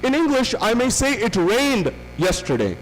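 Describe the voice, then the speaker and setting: High-voiced man lecturing in a hall. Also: wildly swooping pitch, moderate pace, a little hiss or hum. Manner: rhetorical questions, repetitive emphatic phrasing. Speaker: visiting lecturer. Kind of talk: religious lecture.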